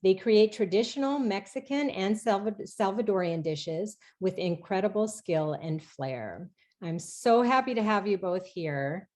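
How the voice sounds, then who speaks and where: A woman's voice: mid-range voice, lively intonation, unhurried, darkish tone. Enthusiastic woman hosting a video call. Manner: enthusiastic, with hesitant fillers when asking questions. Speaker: host, executive chef. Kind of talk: interview hosting. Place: home kitchen.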